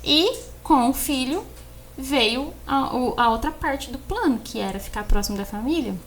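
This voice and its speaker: A woman with a Brazilian accent, chatting casually as a podcast co-host and telling personal stories in an animated way, repeating words for emphasis. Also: sits high, moderate pace, slight background noise. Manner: animated storyteller who acts out exchanges and repeats words for emphasis. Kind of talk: casual conversation, personal storytelling.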